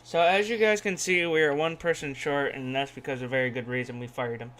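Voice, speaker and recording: A man's voice: medium pitch, fairly even intonation, a little fast, crowd noise. A man hosting a podcast in an informal, sweary style, often repeating himself as he talks off the cuff. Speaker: podcast host. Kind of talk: casual chat and unscripted sponsor reads.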